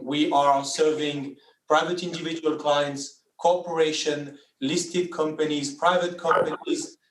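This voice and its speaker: A man introducing his firm on a panel, speaking hesitantly with many fillers from an office. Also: fairly high pitch. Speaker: panelist, private jet booking executive. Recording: roomy sound from a distance.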